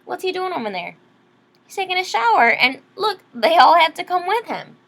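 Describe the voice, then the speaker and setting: A woman reading a children's picture book aloud expressively, pausing to explain things to young listeners. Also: extremely high pitch, big pitch swings, vocal fry, a bit bright. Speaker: read-aloud storyteller.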